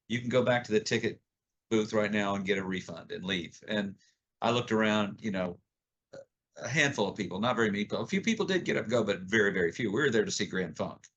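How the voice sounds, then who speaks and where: Casting: male podcast host, 60s, slightly low pitch with a bright edge, halting conversational storyteller. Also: highs cut off.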